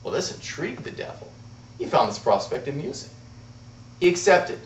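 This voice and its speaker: A man telling a tall tale to an audience as an amateur contest speaker, with dramatic, deliberate delivery and emphatic pauses. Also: on the high side, huge pitch swings. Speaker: amateur storyteller in a speech contest. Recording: roomy, distant, muffled club-room recording.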